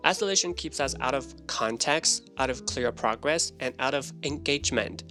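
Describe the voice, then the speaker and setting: A man whose first language is Chinese speaks to camera in an earnest, casual vlog voice. His pitch sits in the middle, and he keeps a friendly, explaining tone with short laughs between points. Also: normal speed, pushed and bright, soft background music. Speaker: English learner and vlogger. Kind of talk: casual scripted talk to camera.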